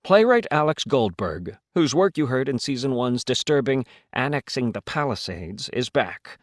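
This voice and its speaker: An American man reads a scripted podcast introduction. His mid-pitched voice moves with very animated intonation, recorded close and dry. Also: moderate pace, no echo. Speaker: host of an audio play series.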